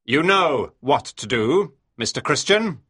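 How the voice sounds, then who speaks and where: A harsh, imperious sea captain from a storybook played by a woman reader. The voice is husky and forceful, barking out orders slowly with big swings of pitch. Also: lively intonation, heavily acted. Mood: stern, commanding. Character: stern, angry male sea captain, a harsh disciplinarian. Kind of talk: audiobook character dialogue.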